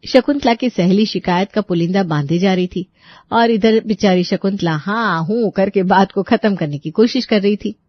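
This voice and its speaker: A woman's voice: mid-range voice, moderate pace, long pauses, no room sound, lo-fi sound. A woman narrator reading a scripted domestic story.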